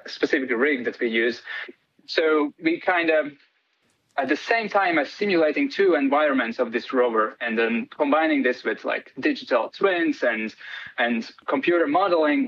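A man's voice: mid-range voice, a bit bright, breathy edge, dry recording. Guy on a radio interview, lots of ums and restarts, dry muffled sound. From Latvia. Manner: hesitant, many fillers and restarts. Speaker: PhD student guest.

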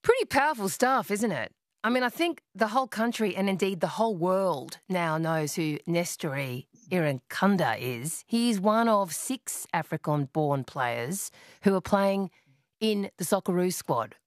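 A woman's voice: lowish voice, animated, a little slow, no echo, clean studio sound. A woman hosting a radio talk show, interviewing a guest in a conversational way with leading questions. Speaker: radio host.

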